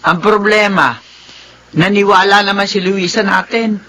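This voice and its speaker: A man's voice: high-pitched, fairly even intonation, a little slow, bright, forceful tone, poor audio. A man playing a concerned elderly grandparent in a radio drama. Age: older. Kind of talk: Filipino radio drama acting.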